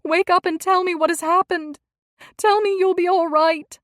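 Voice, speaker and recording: A woman's voice: on the high side, moderate pace, no room sound, studio quality, close to an everyday voice. A woman voicing a grieving young wife who pleads and breaks with emotion. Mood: desperate.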